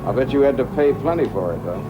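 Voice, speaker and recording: An actor playing a sceptical, inquisitive acquaintance in a television drama, speaking in a casual, wry way. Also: male voice, mid-range voice, lo-fi sound.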